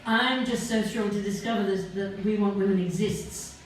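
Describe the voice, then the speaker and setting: A woman's voice: medium pitch, fairly even intonation, normal speed, heavy background noise. An older woman talking casually and hesitantly as she introduces her live performance, in an informal, rambling way. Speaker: solo performer, writer. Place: live performance venue, indoors. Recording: echoey live venue, far from mic.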